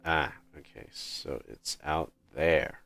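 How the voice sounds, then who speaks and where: A male livestreamer with a deep voice talks casually through his gameplay. He goes slowly, thinking aloud in short, halting phrases. Soft music plays behind him. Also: creaky, dry recording. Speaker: game livestreamer. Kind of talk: casual live gameplay commentary.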